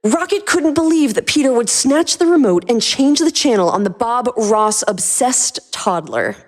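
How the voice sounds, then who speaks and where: A woman's voice: fairly high pitch, animated, moderate pace. A woman narrator reading a scripted story.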